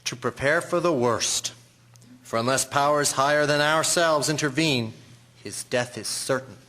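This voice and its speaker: An actor playing a grave, dramatic Victorian doctor, theatrical and emphatic, in an audio drama. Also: male voice, medium pitch, unhurried, sharp tone, slight background noise. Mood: grim. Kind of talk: scripted audio drama acting.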